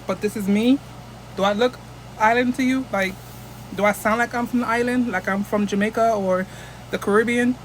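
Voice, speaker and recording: A woman's voice: medium pitch, slight background noise, rough recording. Chatty American woman rambling to camera. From United States. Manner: rambling, chatty storytelling with many restarts. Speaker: vlogger. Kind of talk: casual chat to camera.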